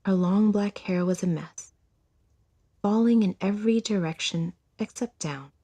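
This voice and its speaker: A woman narrates scripted fiction for an audiodrama. Her voice is mid-pitched, warm and breathy. She tells the story in a measured, deliberate way.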